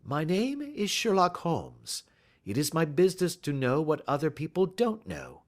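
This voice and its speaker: A man reading aloud and voicing a calm, clever Victorian detective who speaks blandly and courteously. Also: on the high side, a little slow, creaky, voice pushed up, exaggerated voice. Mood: assured.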